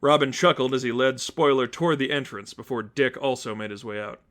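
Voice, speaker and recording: A man's voice: medium pitch, steady tone, a little fast, a bit bright. A man reading fan fiction aloud as a scripted story narrator.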